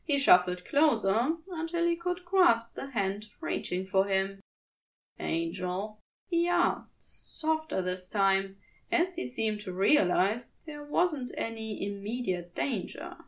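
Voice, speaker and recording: Casting: female fiction narrator, highish pitch, measured pace, expressive scripted read. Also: recorded from a distance. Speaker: podfic narrator.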